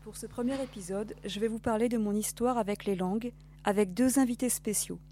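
A woman in her thirties giving a soft, even, scripted podcast narration. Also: mid-range voice, fairly quick, slight background noise.

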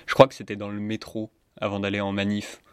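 A man hosting a community radio show, presenting in a relaxed, unhurried way. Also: fairly low pitch, background noise.

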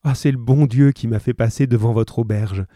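A man's voice: mid-range voice, fairly even intonation, normal speed, mellow, no echo, clean studio sound, close to an everyday voice. A man voicing an anxious, pleading poor young mother, hesitant and earnest, in an audiobook.

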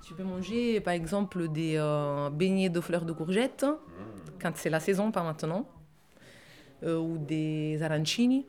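Halting woman with a low voice. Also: darkish tone. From Italy. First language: Italian. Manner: hesitant, halting, many fillers and restarts. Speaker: guest, restaurant cook. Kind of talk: casual interview talk.

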